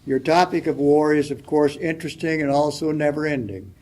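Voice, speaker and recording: An older man with a soft voice reads his letter aloud at a public forum. He speaks in a reflective, unhurried way, and his voice sits a little higher than most men's. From United States. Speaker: panelist.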